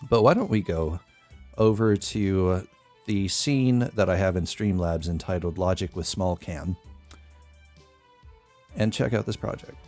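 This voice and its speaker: A young man with a very deep voice, chatting casually while working on music in a small bedroom studio, thinking aloud with lots of fillers. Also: darkish tone. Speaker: music producer streamer. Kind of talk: casual live-stream talk. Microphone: studio mic on boom arm, headphones.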